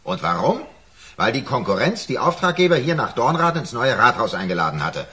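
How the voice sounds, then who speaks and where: A man's voice: medium pitch, big pitch swings, fairly quick, pushed and bright. An actor playing a blunt, worried male boss of a small firm in a German radio crime drama. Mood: resigned.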